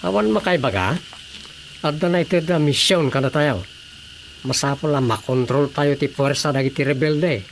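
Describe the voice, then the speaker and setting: A man's voice: on the high side, expressive, normal speed, a little hiss or hum. A man with an Ilocano accent playing a resolute, authoritative army officer in a radio drama. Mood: firm, commanding. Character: resolute army officer giving orders to his men.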